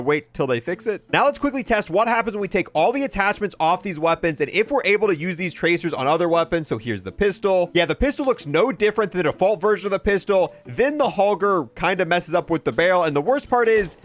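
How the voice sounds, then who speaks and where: A young man giving casual, matter-of-fact commentary as he explains and shows off video game content. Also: high voice. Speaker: gaming video host.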